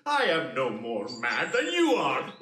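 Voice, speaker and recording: An actor playing a wronged, pompous steward who pleads theatrically and indignantly in a classical stage comedy. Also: male voice, a little high, wildly swooping pitch, unhurried, pushed and bright, full character voice.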